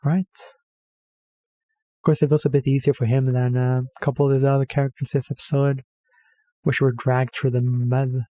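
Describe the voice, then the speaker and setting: Man, mellow voice, dry sound, hesitant rambling reaction host. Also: mid-range voice, little pitch movement, moderate pace, pauses often, dry recording, rough recording. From Norway. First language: Norwegian. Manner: hesitant, rambling, self-correcting with many fillers. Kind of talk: casual rambling commentary.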